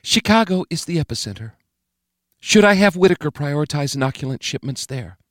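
A man's voice: on the high side, expressive, brisk, no echo, studio quality, pitched above the performer's own voice, close to an everyday voice. A man voicing a stern, commanding woman president, giving clipped, decisive orders in an audiobook. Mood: quiet, worried. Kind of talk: audiobook character voice.

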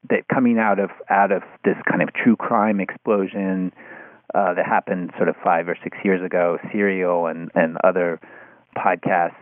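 A man being interviewed as a writer, talking thoughtfully and haltingly, repeating words as he works out his point. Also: low-pitched, no room sound. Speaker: interview guest, magazine writer. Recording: thin, phone-like line.

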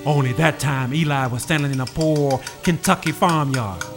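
A man narrating a story in a measured, solemn, evocative way. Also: fairly high pitch. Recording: narration over clear music. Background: music and rain.

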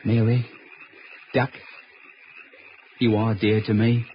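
An actor playing an earnest, poetic young suitor with flowery, coaxing delivery in an old-time radio drama. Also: male voice, lowish voice, flat delivery, takes it slowly, a little hiss or hum. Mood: wooing, poetic. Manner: flowery, romantic, declaiming. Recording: dull old radio recording with music.

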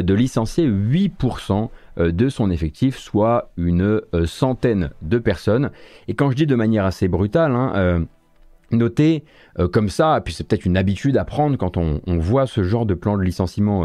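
A man's voice: on the low side, mellow, no echo. A man hosting a morning video game news show, commenting casually with many fillers, self-corrections and wry asides.

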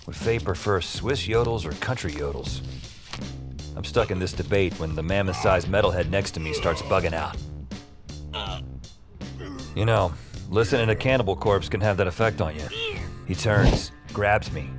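A man acting a young, self-deprecating narrator in an audio fiction drama. His voice sits low, and he tells his story drily and conversationally, with music clearly underneath. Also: normal speed, pauses often, close to an everyday voice. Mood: wry, dry. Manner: wry, dry, conversational. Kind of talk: first-person scripted audio drama narration.

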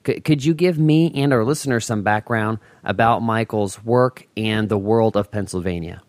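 A man's voice: fairly low pitch, moderate pace, darkish tone, dry recording. A man hosting a podcast interview in a casual, conversational style. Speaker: podcast host.

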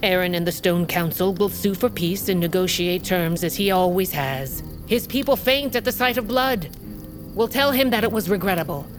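A woman as a low-voiced, commanding warrior queen. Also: animated, over music, close to an everyday voice. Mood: calculating. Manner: imperious, measured. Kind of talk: fantasy audio drama.

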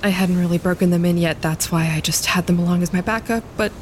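A woman playing a practical wildlife photographer on a winter camping trip in a naturalistic audio drama, speaking in a hushed, matter-of-fact, low-key way. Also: fairly even intonation, quick pace, close to an everyday voice. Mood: subdued, practical. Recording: clear outdoor ambience noise behind.

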